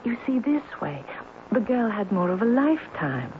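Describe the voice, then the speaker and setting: An actress playing a wistful, fervent woman in a radio drama, halting yet impassioned and persuasive. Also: on the high side, sing-song. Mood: earnest, pleading. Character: wistful, fervent woman devoted to a romantic illusion. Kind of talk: old-time radio drama acting. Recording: tinny, hissy old radio recording.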